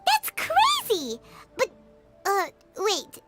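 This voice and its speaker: Tiny excitable fairy sidekick, childlike, extremely high and animated, for a video game. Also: female voice, extremely slow, full character voice. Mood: startled, confused. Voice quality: squeaky, childlike. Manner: exclamatory, refers to self in third person. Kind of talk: video game character acting. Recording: music playing under the voice.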